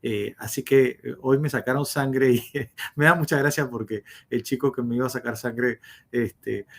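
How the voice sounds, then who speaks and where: A man tells his life story as a podcast guest, pausing often on fillers and restarting his sentences. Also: mid-range voice, steady tone, vocal fry, fairly bright tone. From Uruguay. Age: 50s. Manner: hesitant, many fillers and restarts.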